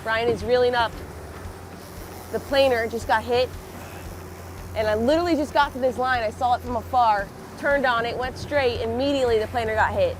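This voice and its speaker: A woman's voice: on the high side, moderate pace, noisy background. A young woman hosting a fishing vlog outdoors on a boat, a little nasal from a head cold and upbeat as she talks. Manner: upbeat, excited when a fish hits. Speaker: fishing channel host. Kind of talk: casual vlog narration on a boat.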